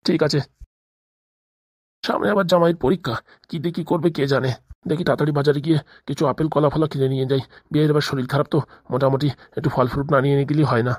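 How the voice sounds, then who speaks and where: Woman voicing a low, fast-talking worried father. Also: fairly even intonation, a little mellow, no room sound, studio quality. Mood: fretful. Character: worried middle-aged father and future father-in-law, chatty, caring. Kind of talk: comedy cartoon dubbing.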